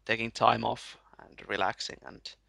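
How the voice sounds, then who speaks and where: Bright, gravelly man, flat delivery. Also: fairly low pitch, moderate pace, no room sound. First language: Finnish. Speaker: guest, gamer.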